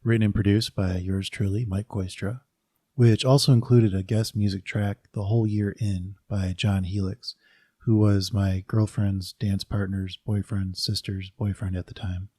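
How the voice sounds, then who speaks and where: A man introducing audio drama episodes as their producer, reading a scripted announcement with deadpan asides. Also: lowish voice, moderate pace, dry recording, studio quality.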